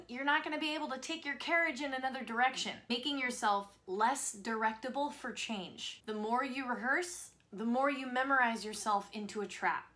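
A woman in her thirties giving scripted instructional advice to camera as a voice-over coach, in an expressive presenter's delivery. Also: high-pitched. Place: small home studio, indoors.

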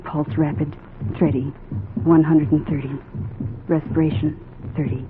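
An actor playing a brisk male hospital assistant reporting to a doctor in a 1940s radio drama. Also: fairly high pitch, level tone, phone-quality sound, close to an everyday voice. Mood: brisk, anxious. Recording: crackly, thin 1940s radio recording.

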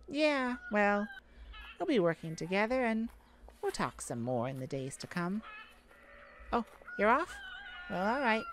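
Woman playing a shy, slow-talking elf farmer. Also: medium pitch, big pitch swings. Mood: relaxed, shy. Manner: halting, polite, nervous little laughs. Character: shy, gentle elf farmer, sweet and easily flustered. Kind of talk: ASMR roleplay. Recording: clear background noise, farm chicken ambience. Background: farm ambience with chickens and rooster.

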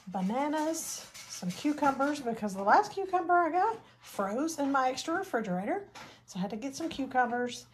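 A middle-aged woman talking in her home kitchen, her voice sitting a bit high for a woman. She chats loosely, trailing into ums and fixing herself as she goes. A faint hiss of noise sits under the phone recording. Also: a little mellow. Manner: chatty, rambling, with ums and self-corrections. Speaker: vlogger. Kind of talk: casual grocery haul chat.

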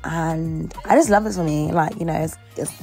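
Young London woman, lowish voice, chatty. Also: moderate pace, vocal fry, a little mellow, faint music behind. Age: early 20s. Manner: slangy, playful, informal. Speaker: vlogger. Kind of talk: casual, chatty morning-routine vlog narration.

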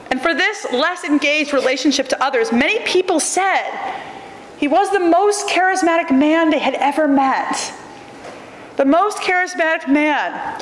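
A woman's voice: sits high, a bit bright. Woman lecturing in an echoey auditorium. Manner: measured, wry, emphatic reading at a podium. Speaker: keynote lecturer. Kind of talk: reading a prepared academic lecture. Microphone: podium microphone. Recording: echoey auditorium, faint room noise.

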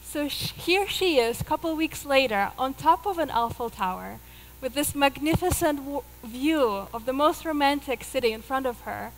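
A woman's voice: high-pitched, animated, moderate pace, long reverb tail, poor audio. A woman in her thirties from Russia with a Russian accent, telling a personal story in an earnest way as a speaker on a theater stage, miked with a headset. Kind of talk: live stage talk.

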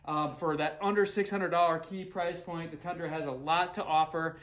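A man's voice: a little high, fairly even intonation, moderate pace, a bit bright. A man in his forties doing a casual, upbeat kayak review in an indoor garage, talking into a camera held at arm's length. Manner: upbeat, conversational, a few restarts. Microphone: handheld camera at arm's length.

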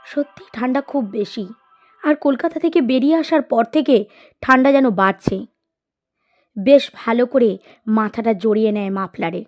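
A woman reading a scripted literary story as narrator, in an expressive storytelling style. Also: a little high, normal speed, pauses often. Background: music.

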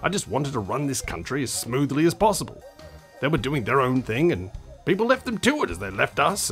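Man doing a gruff politician in his fifties, gravelly, husky, very expressive pitch. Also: full character voice. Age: 50s. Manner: gruff, blustering, swears often. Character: weary veteran male politician, blunt and swearing. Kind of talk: radio comedy acting.

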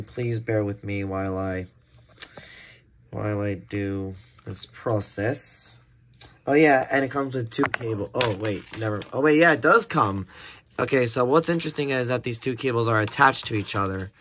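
A man's voice: lowish voice, normal speed, a bit bright. A man giving casual, unscripted unboxing commentary in a hesitant, rambling way, often correcting himself. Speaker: unboxing video host. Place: indoors at a table. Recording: clear background noise, handling clicks.

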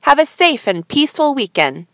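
A woman host reading scripted news headlines in a formal, measured newsreader manner. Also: high voice, big pitch swings, background noise.

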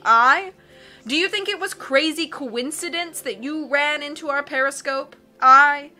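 A woman playing a booming, blustering sea captain. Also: a little slow, pushed and bright, faint music behind, exaggerated voice. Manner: booming, rattles off "aye"s and rhetorical questions. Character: loud blustering male sea captain, excitable.

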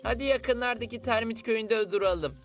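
A man voicing an upbeat, wry young hero in an animated cartoon dub. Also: very high-pitched, normal speed, faint music behind.

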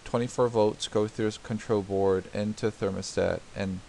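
A man with a lowish, gravelly voice narrates a do-it-yourself repair tutorial over a diagram. He explains patiently, step by step, with frequent fillers and restarted sentences. A faint hiss sits under the voice. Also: steady tone, moderate pace, mellow. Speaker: DIY home repair tutor. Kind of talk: unscripted screen-recorded tutorial narration.

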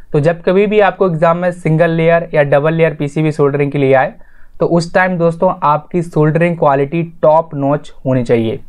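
A man hosting an informal explanatory voice-over lesson, addressing viewers directly in an instructional way and restating his points. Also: fairly high pitch. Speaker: tutorial host.

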